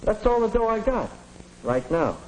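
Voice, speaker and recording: A man's voice: high-pitched, very animated, moderate pace, darkish tone, a little hiss or hum, rough recording. A man playing a bitter, desperate teenage addict in a 1950s educational film, surly, edgy and slangy, on a vintage soundtrack. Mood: wary, needy.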